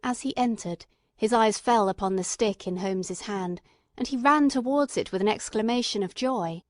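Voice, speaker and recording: A female audiobook narrator reads classic fiction from a script. Her pitch sits in the middle range for a woman. The recording is dry, with hardly any room around the voice. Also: moderate pace, no echo.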